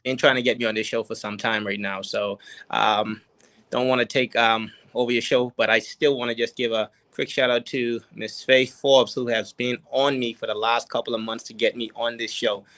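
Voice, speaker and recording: A man from the Turks and Caicos Islands, a guest entrepreneur answering interview questions in a casual, hesitant way with many pauses and ums. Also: medium pitch, fairly even intonation, a bit bright.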